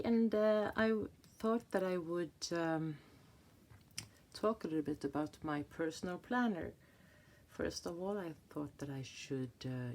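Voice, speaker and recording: A woman's voice: lowish voice, creaky, a little mellow. Hesitant Swedish woman in her fifties. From Sweden. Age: 50s. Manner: hesitant, searching for words, many restarts. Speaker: planner vlogger. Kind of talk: casual flip-through chat. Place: indoors at a table, hands on a planner.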